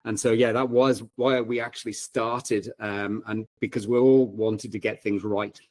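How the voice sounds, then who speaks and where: A man chatting casually as a podcast guest, haltingly feeling for his words. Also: on the low side, steady tone, darkish tone, no room sound. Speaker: podcast guest, writer and former paramedic. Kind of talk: casual chat.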